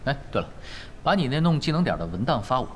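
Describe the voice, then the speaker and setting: Guy doing a shrewd laid-back gamer schemer, teasing, pitch swings all over, audio drama. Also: very animated, fast, a little hiss or hum, natural voice. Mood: calm, assured. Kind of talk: audio drama acting in dialogue.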